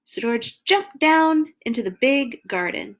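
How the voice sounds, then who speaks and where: Lively woman reading a children's story. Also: fairly high pitch, a little slow, a little hiss or hum. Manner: animated, exaggerated emphasis for young children. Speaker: children's storyteller and host of a read-along. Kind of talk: expressive read-aloud of a children's picture book.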